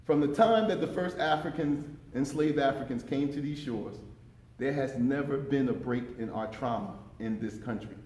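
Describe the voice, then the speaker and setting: Man, fifties, deep voice, impassioned panel talk, echoey conference room. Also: moderate pace, darkish tone. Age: 50s. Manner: impassioned, emphatic, repeats words when pressing a point.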